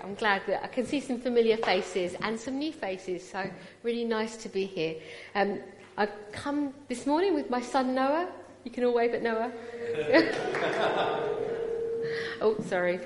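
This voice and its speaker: Woman in her 40s with a British accent and a fairly high voice, giving an interactive church talk into a PA mic in a big echoing hall. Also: animated, measured pace, slight background noise. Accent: British English. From Punjab, India. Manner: chatty, teasing, draws the audience in. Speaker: guest speaker at a church. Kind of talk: personal testimony sermon. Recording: long reverb of a large hall.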